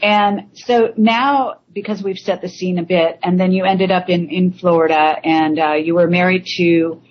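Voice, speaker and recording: A woman hosting a radio interview, prompting her guest with halting questions. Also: fairly low pitch, steady tone. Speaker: radio show host. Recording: muffled lo-fi line with faint noise.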